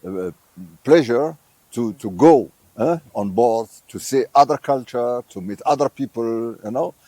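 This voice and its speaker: A man's voice: mid-range voice, unhurried, warm tone, no room sound. An older man from Morocco, a visiting tourism professor, answering interview questions in English with many fillers and restarts.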